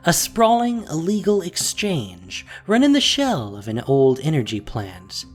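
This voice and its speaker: A woman narrating a fantasy audio drama in an expressive, scripted storytelling style. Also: very deep voice, husky.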